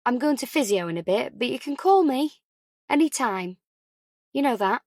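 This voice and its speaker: A caring older mum, played by a woman narrator, coaxing and deliberately upbeat. She reassures with gentle persistence, as an audiobook character, recorded dry and close. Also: a little high, expressive, no echo, voice pushed up. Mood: reluctant, caring.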